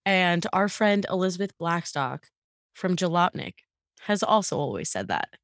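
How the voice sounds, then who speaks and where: A woman's voice: lowish voice, very animated, moderate pace, a bit bright, dry recording, studio quality. A young woman co-hosting a casual chat podcast, upbeat and giggly with lots of fillers, fighting allergies. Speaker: podcast co-host.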